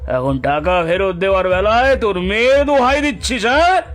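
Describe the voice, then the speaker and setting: A man's voice: high voice, expressive, normal speed, a bit bright. A man playing a cruel, haughty village moneylender in a cartoon story, sneering and taunting with contempt, over background music. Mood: mocking contempt. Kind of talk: dramatic cartoon story acting.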